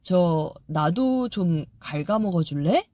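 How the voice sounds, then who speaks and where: A woman voicing a gentle old chimney who pleads softly and thanks warmly in a storybook audiobook. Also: fairly low pitch, expressive, slow pace, no echo. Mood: pleading.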